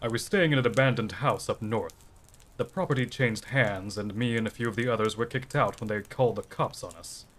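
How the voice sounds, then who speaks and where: An actor playing a determined, inquisitive drifter hero in an audio drama, plain-spoken and steady. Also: male voice, fairly low pitch, fairly quick. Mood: easygoing.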